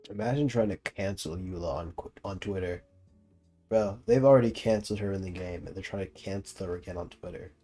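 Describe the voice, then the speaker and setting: A man's voice: low voice, a little fast, warm tone, soft background music. A young man giving casual commentary as he plays a video game, slangy, profane and teasing. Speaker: streamer reacting to a video game.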